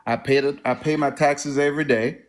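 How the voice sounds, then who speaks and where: Man, Congolese French accent, French native, mid-pitched, earnest, measured, caller. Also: steady tone. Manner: earnest, measured, makes points in order. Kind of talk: earnest call-in opinion.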